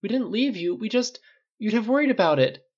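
A man's voice: high-pitched, big pitch swings, normal speed, creaky, muffled recording, pitched above the performer's own voice, heavily acted. A man voicing an impulsive, sheepish younger man who pleads and protests in an audiobook story. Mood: pleading, upset.

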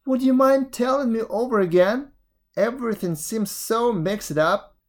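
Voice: mid-range voice, a little slow, voice pushed up, close to an everyday voice. A storyteller voicing a sly jackal who acts muddled, plaintive and scatterbrained in an animal folktale. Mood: puzzled. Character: sly jackal feigning muddled, whimpering confusion.

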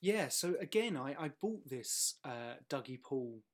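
A man's voice: medium pitch, expressive, normal speed. A man speaking as a guest in a casual music chat, enthusiastic and often repeating words as he finds his way. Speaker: guest choosing and discussing songs; pedal steel guitar player.

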